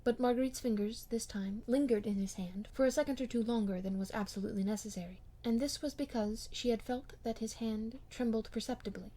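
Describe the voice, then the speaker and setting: A woman's voice: fairly high pitch, fairly quick, few pauses, warm tone. Woman narrating a classic novel expressively. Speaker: amateur audiobook reader.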